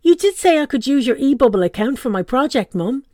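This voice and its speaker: Cheeky schoolboy for a read-aloud story, squealing and smug, pitched high, dry sound, played by a woman. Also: animated, fairly quick, darkish tone, no room sound. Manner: squealing, gleeful.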